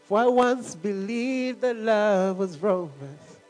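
Man, preacher, high voice, animated, frequent laughter, faint background music. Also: takes it slowly. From Zambia. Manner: animated preaching, laughing often between points. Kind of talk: sermon.

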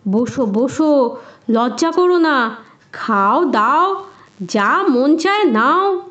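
A woman's voice: sits high, expressive, very slow, fairly bright tone, muffled recording, heavily acted. A woman voicing a greedy, impatient, haughty male landlord in a storybook tale. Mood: eagerly hospitable.

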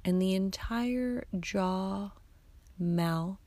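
A woman guiding a meditation in a soft, soothing, hushed voice that turns breathy at times, with unhurried instructions and long pauses. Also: medium pitch, no room sound. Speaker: yoga nidra guide, host of the episodes. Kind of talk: guided meditation narration.

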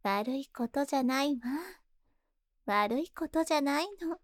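A woman's voice: high voice, measured pace, no echo, clean studio sound. A woman playing a seductive, possessive spider monster in a Japanese ASMR voice drama, tender and coaxing, lingering on her words. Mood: soothing, hypnotic. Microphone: close ASMR microphone in a dry studio.

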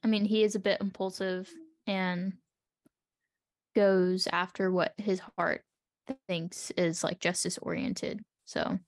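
A woman's voice: fairly low pitch, little pitch movement, slow pace. A woman co-hosting a podcast, talking casually through a book with others. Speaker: podcast co-host.

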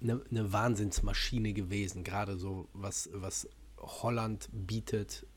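A man's voice: lowish voice, steady tone, slow pace, slightly rough. A man hosting a podcast in a casual chat, asking questions conversationally. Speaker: podcast host.

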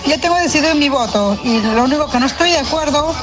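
A woman's voice: high-pitched, expressive, fast, over music. A woman with a Lima, Peruvian accent leaving a casual voice message for a radio show. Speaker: listener sending a voice message.

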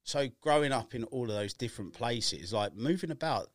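A man's voice: mid-range voice, expressive, a little fast, no room sound, studio quality. A man hosting a podcast interview, chatty and jokey, asking long rambling questions with lots of fillers. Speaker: podcast host. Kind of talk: casual interview chat. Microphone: video podcast setup with camera.

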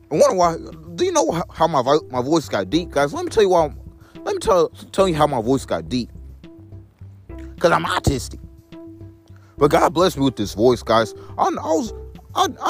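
A young man with a deep voice vlogging to his phone camera while walking outdoors in the rain. He repeats his phrases over and over and keeps calling his viewers "guys". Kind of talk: rambling phone vlog on a walk.

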